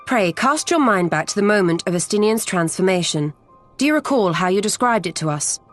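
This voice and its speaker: A woman voicing a wise, composed sorceress in a game cutscene, speaking in measured, solemn, archaic phrases. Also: mid-range voice, animated, faint music behind, natural voice. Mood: grave. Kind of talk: video game cutscene acting.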